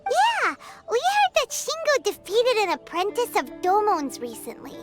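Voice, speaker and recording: A woman's voice: wildly swooping pitch, unhurried, exaggerated voice. A woman voicing a tiny, chirpy, curious childlike companion in a video game. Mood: curious, surprised. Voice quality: squeaky, childlike. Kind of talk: English video game voice acting. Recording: music playing behind the voice.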